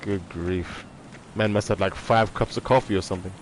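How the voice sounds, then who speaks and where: A man's voice: low voice, steady tone, moderate pace, a little hiss or hum, low-quality recording. A man giving laid-back, joking commentary as he plays a game on a live stream. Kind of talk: casual live gameplay commentary.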